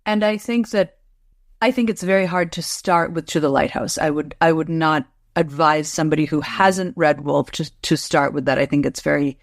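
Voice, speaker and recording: Measured woman professor explaining in dry sound. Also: deep voice, no echo. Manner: measured, explanatory, careful restarts. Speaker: literature professor and guest. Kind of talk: interview answers.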